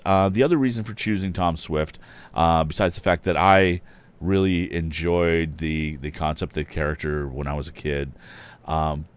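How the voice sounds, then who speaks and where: A man with a deep, resonant voice introduces a read-aloud in a casual, chatty style. He muses informally and is a little self-deprecating, like a host speaking off the cuff. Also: clean tone. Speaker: storyteller, host reading books aloud.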